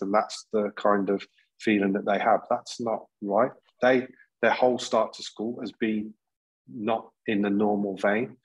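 A man's voice: fairly low pitch, husky, poor audio. A man in his thirties on a video call, speaking earnestly as a panel guest, often restarting and repeating words. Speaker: panel guest, school leader. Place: plain indoor room.

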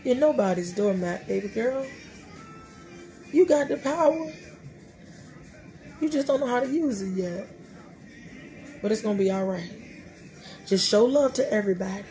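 A woman in her thirties hosting a casual faith podcast, talking animatedly and preachily from a small room. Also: medium pitch. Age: 30s. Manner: animated, preachy, talks to herself, laughing asides. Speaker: podcast host. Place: small room, indoors before a dark curtain.

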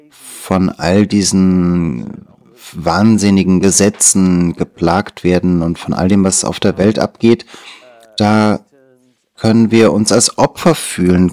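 Deep-voiced Dutch man in his sixties. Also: warm tone. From Netherlands. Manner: thoughtful, unhurried, gestures while talking. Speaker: interview guest, author. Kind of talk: reflective conversational storytelling. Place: small TV studio, indoors.

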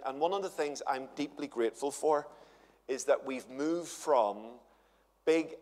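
A man, preaching at a church conference with a chatty, humorous storytelling manner. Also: medium pitch. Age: 50s. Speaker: guest conference speaker. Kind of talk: conference sermon to a live audience. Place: large church hall with stage and audience. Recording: long hall reverb.

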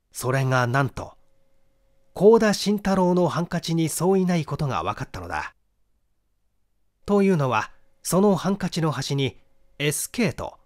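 A man giving a dramatic scripted reading of a classic mystery novel as an audiobook narrator. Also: a little high. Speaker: audiobook reader, small-theater actor.

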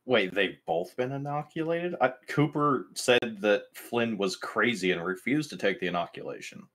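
A man's voice: on the low side, lively intonation, moderate pace, a bit bright. A man talking casually as a player in a tabletop game. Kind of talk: casual chat during a game.

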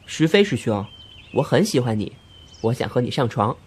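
A man's voice: medium pitch, very animated, moderate pace, a little mellow, slight background noise, lightly acted. A man playing a naive, good-natured young man, blunt yet hesitant, in an amateur audio drama. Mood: earnest, blunt. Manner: hesitant, guileless. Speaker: amateur voice actor.

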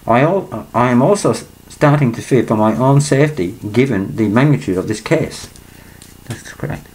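A man's voice: mid-range voice, lively intonation, moderate pace, darkish tone, poor audio. Older man reading and commenting. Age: 60s. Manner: rambling, breaks off his reading to comment. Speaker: vlogger. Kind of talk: reading a letter aloud with personal asides. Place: small room indoors at home.

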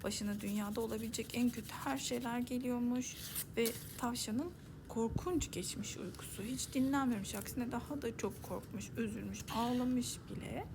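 A woman reads a fairy tale for sleepy listeners in a soft storybook voice that slips into whispers. Her voice sits a little high and has a gravelly fry. A steady hiss runs under the recording. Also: moderate pace. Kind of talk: ASMR bedtime story narration.

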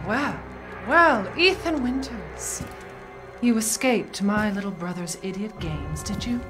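Haughty, slow-spoken aristocratic vampire lady. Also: medium pitch, animated, over music. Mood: menacing amusement. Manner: theatrical, condescending, disdainful. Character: imperious, haughty towering aristocratic vampire lady, mother of daughters. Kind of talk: dramatic video game cutscene acting.

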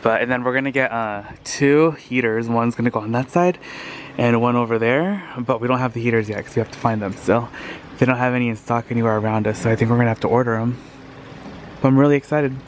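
A man in his thirties vlogging casually into a handheld camera, chatty and excitable, talking straight to his viewers. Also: mid-range voice, fast talker, a little hiss or hum. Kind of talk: casual vlog narration. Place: car, outdoor yard and thrift store.

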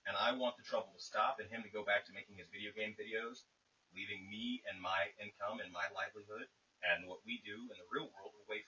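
A man's voice: lowish voice, sharp tone, distant mic. Man on a hissy, lo-fi phone call. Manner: insistent, wordy, formal phrasing. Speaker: caller. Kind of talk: tense, argumentative phone conversation.

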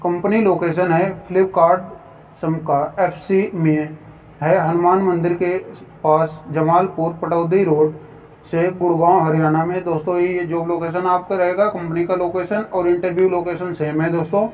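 Man, high voice, job-notice host, distant dull lo-fi mic, faint hiss. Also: little pitch movement, measured pace. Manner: repetitive, addresses viewers as friends. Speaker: job-listing YouTube host.

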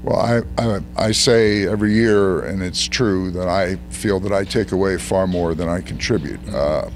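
Older man, low and slightly hoarse. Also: a little hiss or hum. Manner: measured, thoughtful. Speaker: interview guest. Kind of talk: reflective interview answers. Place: convention hotel room. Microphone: handheld mic held by interviewer.

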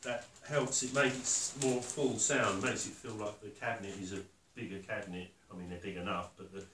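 An older man giving a casual step-by-step demonstration, halting and matter-of-fact as he thinks aloud while working. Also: fairly low pitch, steady tone, a bit bright. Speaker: woodworker demonstrating his build. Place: concrete-block workshop and house room. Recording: echoey room, faint noise, handling clicks.

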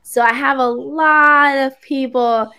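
Bubbly high-voiced woman tutor, unhurried. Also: fairly even intonation, sharp tone. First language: Spanish. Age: young adult. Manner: bubbly, chatty, laughs often. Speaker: online English tutor. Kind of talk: casual chat on a video call. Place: indoor room. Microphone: video call.

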